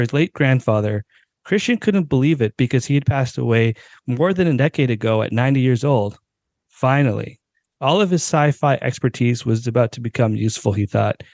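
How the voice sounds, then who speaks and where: A man's voice: mid-range voice, gravelly, a little mellow, no echo, dull audio. A man, a podcast co-host, giving a dramatic reading of a short story and now and then tripping over words.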